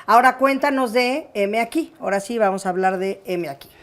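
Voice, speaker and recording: A Mexican woman hosting an interview, lively and curious, with quick reactions. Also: mid-range voice. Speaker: interview host. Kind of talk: casual interview chat.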